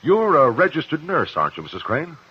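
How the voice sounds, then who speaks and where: An actor in a 1950s radio drama playing a principled cross-examiner, measured, articulate and pressing. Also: male voice, a little high, wildly swooping pitch, husky, full character voice. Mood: probing. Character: probing, principled male courtroom cross-examiner. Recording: muffled vintage 1950s radio recording.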